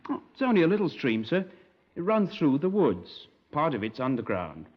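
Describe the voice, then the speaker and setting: An actor playing an eager, artless young lad, deferential and literal-minded, in a 1950s radio drama. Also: male voice, a little high, big pitch swings, moderate pace. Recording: dull 1950s radio recording.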